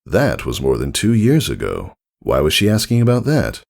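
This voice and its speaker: A man's voice: low-pitched, huge pitch swings, normal speed, creaky, darkish tone. A man narrating a scripted story in the style of an audiobook reading.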